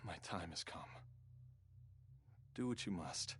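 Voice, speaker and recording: An actor playing a dying husband and father, speaking softly and wistfully in a game cutscene. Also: deep voice, lively intonation. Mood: resigned. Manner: soft, wistful, slow and heavy with farewell. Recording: game audio with loud music.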